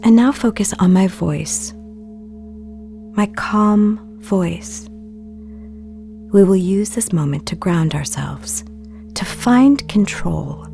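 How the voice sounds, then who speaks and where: An actress playing a serene, earnest film star who leads a guided meditation in a soft, soothing, deliberate voice for an audio play. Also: female voice, on the low side, gravelly.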